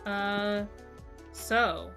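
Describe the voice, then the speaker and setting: A woman hosts a casual podcast in a medium-pitched voice. She talks informally and a bit hesitantly, often letting sentences trail off. Faint music plays behind her. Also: fairly even intonation, drawn-out words, creaky, fairly bright tone. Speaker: podcast host. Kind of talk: casual fan podcast discussion.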